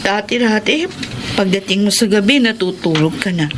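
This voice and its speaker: A woman's voice: medium pitch, expressive, normal speed, natural voice. A woman playing an evasive, defensive cheating wife in a Filipino radio drama, with a Filipino accent. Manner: defensive, brushing off questions. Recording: noisy radio drama recording.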